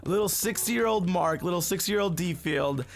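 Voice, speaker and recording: A man with a somewhat high voice, originally from the Philippines, chats loosely to his viewers while he plays. His talk wanders, full of fillers and restarts, and he keeps calling the audience "you guys.". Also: normal speed. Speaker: gaming channel host. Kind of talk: casual storytelling chat while playing a game. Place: at home, family cleaning in the house.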